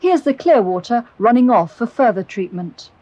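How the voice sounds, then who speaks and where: A British woman reading scripted narration for an educational film, explaining in a clear, measured way. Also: a little high, lively intonation, warm tone, dry recording, muffled recording. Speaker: documentary narrator. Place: close, dry voice-over recording.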